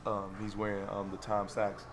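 A young man in his twenties hosts a casual podcast. His voice carries a steady gravelly fry, and he rambles through his stories with plenty of "like" and "um". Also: fairly low pitch, normal speed. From Florida, US. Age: 20s. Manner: loose, rambling storytelling full of fillers. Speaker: podcast host.

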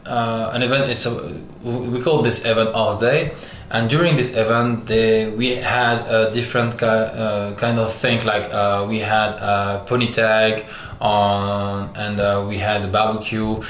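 A man's voice: lowish voice, little pitch movement, fairly bright tone. Hesitant man from Algeria, raised in France. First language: French. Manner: many pauses and restarts, searching for English words. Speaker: artist guest. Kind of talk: halting interview answers. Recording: echoey room with faint noise.

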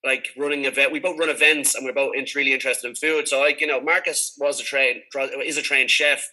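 Bright, fast-talking Dublin man. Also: medium pitch, fairly even intonation, poor audio. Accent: Dublin Irish. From Dublin, Ireland. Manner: chatty, informal, rambling. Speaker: podcast host being interviewed. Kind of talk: casual conversational interview.

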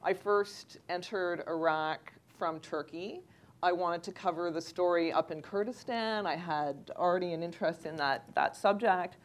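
A woman, a freelance journalist giving a measured, anecdotal personal account during a panel discussion. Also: on the low side. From Canada.

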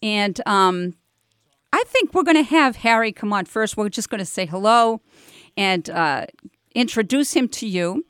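A woman's voice: medium pitch, expressive, a little slow, fairly bright tone, smooth, no room sound, studio quality. Effusive, informal woman hosting live radio. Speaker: radio show host.

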